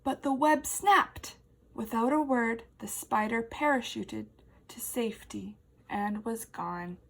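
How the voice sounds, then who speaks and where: Expressive, high-voiced woman reading to children. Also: takes it slowly, vocal fry. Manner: expressive, addressing young children. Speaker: teacher. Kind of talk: read-aloud children's story with questions.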